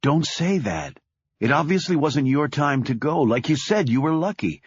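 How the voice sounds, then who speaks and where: A man voicing a wary, sharp-tongued woman journalist in an audiobook. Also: on the high side, very animated, normal speed, slightly rough, no echo, dull audio, pitched above the performer's own voice. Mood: urging.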